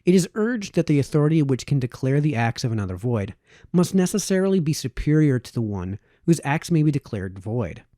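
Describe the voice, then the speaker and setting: A man narrates a formal old text with measured, emphatic phrasing. His voice is relaxed and mellow, and his pitch moves up and down in a lively way. The recording is close and dry. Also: no echo. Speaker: reader, narrator. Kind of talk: read-aloud of a historical political text.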